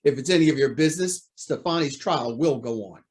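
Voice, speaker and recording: Man acting a high-voiced, indignant judge. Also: brisk, close to an everyday voice. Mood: indignant, agitated. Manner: indignant, formal, emphatic. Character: proud, indignant male judge under pressure. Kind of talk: old-time radio drama acting.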